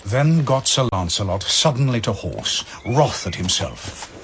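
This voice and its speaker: A man's voice: lowish voice, very animated, a little slow, fairly bright tone, slightly rough. A man narrating a verse drama from a script in a solemn, theatrical manner.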